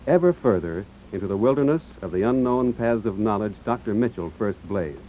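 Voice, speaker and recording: A man announcing in a grand, solemn, declamatory way, reading a 1940s radio advertisement. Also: medium pitch. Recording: tinny, lightly noisy old radio broadcast.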